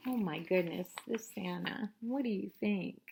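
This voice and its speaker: A woman's voice: medium pitch, measured pace, vocal fry, darkish tone, a little hiss or hum. A woman reading a picture book aloud to children, narrating expressively and turning to listeners with asides and questions.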